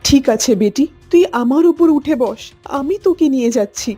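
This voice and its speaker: A woman's voice: high-pitched, animated, moderate pace, warm tone, breathy edge, faint music behind. A woman voicing a protective, anxious, motherly cow aunt in a children's cartoon. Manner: urgent, maternal.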